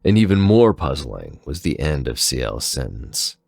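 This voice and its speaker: Very deep, gravelly male story narrator. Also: very animated, normal speed, a little mellow, no echo, clean studio sound. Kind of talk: scripted dramatic story narration.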